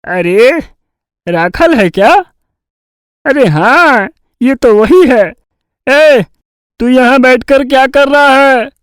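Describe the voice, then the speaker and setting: Sly, boastful village crook for a cartoon fairy tale male actor, high register, unhurried bragging. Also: smooth, no room sound, studio quality. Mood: coaxing. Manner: bragging, drawn-out cajoling.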